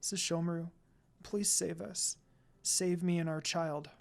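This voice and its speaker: A man's voice: on the high side, fairly even intonation, measured pace, gravelly. Man voicing a fiercely defiant young pregnant priestess. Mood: pleading.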